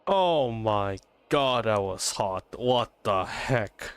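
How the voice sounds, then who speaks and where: A man's voice: lowish voice, animated, clean tone. Guy streamer reacting to a game, big swoopy exclamations, drags his words out. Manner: exclamatory, drawn-out swearing reactions. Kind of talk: casual live reaction to a game.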